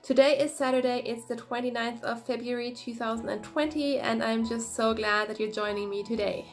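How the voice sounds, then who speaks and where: A woman's voice: fairly high pitch, faint music behind. Chatty young woman with a head cold. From Germany. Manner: quick, energetic, chatty. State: head cold, slightly sniffly. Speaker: knitting podcast host. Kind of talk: casual chat to camera. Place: small room indoors. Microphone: phone camera at arm's length, no external mic.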